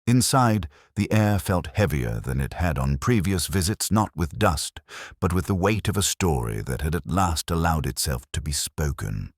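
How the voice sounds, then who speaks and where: A man playing a melancholy older detective inspector, narrating case notes in a measured, reflective, literary way. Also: rumbling low, lively intonation, no room sound, studio quality. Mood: solemn, brooding. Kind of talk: scripted first-person literary narration.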